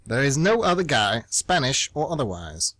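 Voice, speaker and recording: Animated, exasperated jilted traveller played by a man. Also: mid-range voice, normal speed, no room sound. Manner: irritable, blunt, incredulous. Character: exasperated, heartbroken male traveller snapping at a stranger. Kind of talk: amateur radio play acting.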